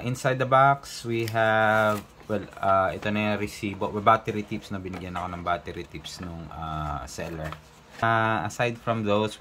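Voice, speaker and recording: A Filipino man hosting a casual unboxing vlog, mixing Tagalog and English in a halting, hesitant way. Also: low voice, fairly bright tone, slight background noise. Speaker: motovlogger host.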